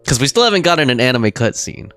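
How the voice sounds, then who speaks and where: A man's voice: mid-range voice, sing-song, fast talker, fairly bright tone, faint music behind. A man doing casual live gameplay commentary in a slangy, reactive way with some swearing. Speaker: live streamer playing a game.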